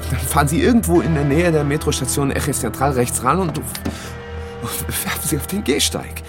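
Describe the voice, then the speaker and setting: A man's voice: fairly high pitch, brisk, over music, natural voice. An actor playing a young man who bluntly retells a brutal story about his girlfriend, in coarse words. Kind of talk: radio drama monologue.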